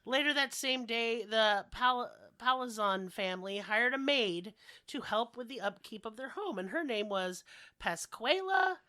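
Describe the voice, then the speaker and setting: A woman's voice: fairly high pitch, lively intonation, a little slow, pushed and bright, dry recording, clean studio sound. A woman podcast host casually telling comedic stories with sweary, sardonic rants while a bit under the weather. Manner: profane, sardonic ranting. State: sick with a cold-like illness.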